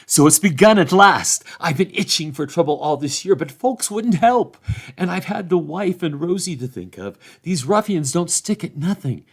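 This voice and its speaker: A man voicing a hearty older hobbit farmer who talks in a loud, bluff country way. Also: a little high, animated, moderate pace, breathy edge, voice pushed up. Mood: rousing.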